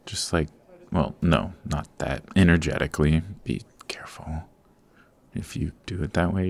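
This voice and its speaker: Male actor as supervillain boss, very deep, gravelly fry, suave, formal, patient, exacting, instructive, ASMR roleplay. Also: heavily acted.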